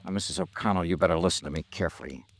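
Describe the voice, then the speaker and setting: Urgent, earnest American radio detective. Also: male voice, low voice, rapid-fire. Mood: firm, urgent. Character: insistent, earnest male insurance investigator, gentle but hard-boiled. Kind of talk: old-time radio drama acting.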